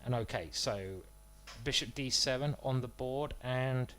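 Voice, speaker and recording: A man's voice: mid-range voice, unhurried, fairly bright tone, a little hiss or hum. A man streaming live, commentating his own chess moves as he plays, thinking aloud and joking about his own bad play.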